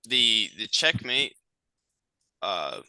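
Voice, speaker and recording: A man's voice: lowish voice, big pitch swings, very slow, sharp tone, clean tone, dry recording, rough recording. A young man student answering questions on a video call, hesitant with many ums. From United States.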